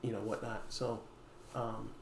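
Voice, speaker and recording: A man in his thirties talking casually to camera as a store owner making an announcement, pausing often mid-phrase. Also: lowish voice, level tone, vocal fry. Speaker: small game store owner and video host. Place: dim indoor room with wooden floor. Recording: echoey room, faint background noise.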